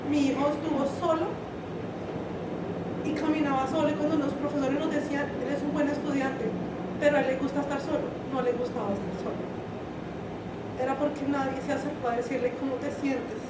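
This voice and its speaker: A woman from Colombia with a Colombian Spanish accent, speaking haltingly in English and then earnestly in Spanish at a community meeting. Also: high-pitched, flat delivery. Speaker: community member. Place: community center room. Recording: echoey room, distant mic, loud background hum.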